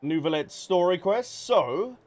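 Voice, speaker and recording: Higher-pitched man chatting on a game livestream. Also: lively intonation, measured pace, a little mellow. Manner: chatty, addresses viewers by name. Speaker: live streamer. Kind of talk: casual game livestream chat. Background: game music.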